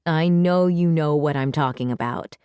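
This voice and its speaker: Storybook mother, performed by a female reader: exasperated, emphatic, low register, lively swings, dry close sound. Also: moderate pace, clean tone, no echo, lightly acted. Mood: shocked, scolding. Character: exasperated, scolding mother of a young girl. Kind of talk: children's storybook read-aloud.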